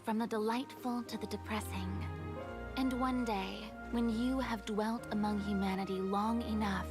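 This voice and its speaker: An actress playing a solemn, wise female mentor in a fantasy game, speaking in a stately, deliberate way. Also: medium pitch, steady tone, close to an everyday voice. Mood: solemn, prophetic. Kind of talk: scripted video game voice acting. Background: loud cinematic music.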